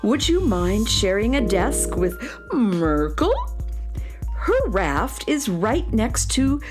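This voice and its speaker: A woman playing a kindly, patient schoolteacher who gently instructs children in a space radio drama. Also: medium pitch, very animated, slow, music in the background. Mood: kindly, instructive. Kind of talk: children's audio drama acting.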